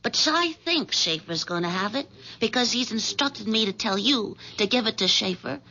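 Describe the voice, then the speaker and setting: Seductive female schemer, creaky, persuasive, insinuating, 1970s radio drama, lo-fi sound. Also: fairly high pitch, animated, normal speed, sharp tone. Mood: coaxing, sly. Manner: coaxing, insinuating. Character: scheming, seductive woman coaxing a timid lover. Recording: dull lo-fi 1970s radio recording.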